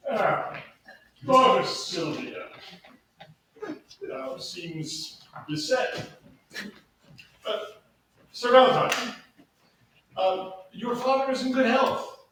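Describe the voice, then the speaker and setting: A high-voiced man playing a genial duke, formal and a little halting. He is heard from a distance in a very echoey room, as at a staged reading. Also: lively intonation, noisy background, exaggerated voice.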